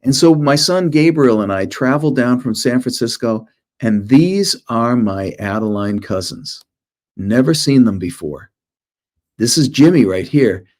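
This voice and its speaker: A man's voice: medium pitch, darkish tone. A middle-aged man, an author, presenting informally to schoolchildren in short storytelling phrases with conversational asides.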